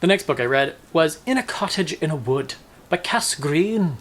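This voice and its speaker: A man's voice: a little high, expressive, normal speed, fairly bright tone. A man talking casually as a book-review vlogger, with a wry, playful, emphatic delivery that draws out words. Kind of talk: casual, chatty book wrap-up.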